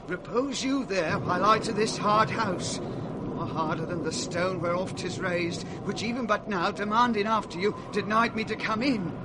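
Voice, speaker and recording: A man acting a faithful British retainer in a radio tragedy. His high voice is urgent, respectful and pleading. Clear noise runs behind him on the old recording. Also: lightly acted. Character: devoted, pleading male servant of the king. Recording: noisy 1960s radio recording.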